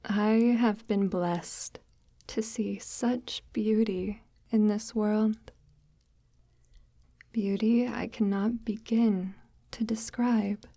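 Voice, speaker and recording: A woman playing a calmly menacing forest witch in an ASMR roleplay, speaking softly and half-whispered in a formal, measured, gently patronizing way, close to the mic. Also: medium pitch, no echo. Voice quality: soft, breathy, half-whispered. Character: sinister forest witch, calmly menacing, devout, condescendingly tender. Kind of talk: ASMR audio roleplay, slow intimate monologue.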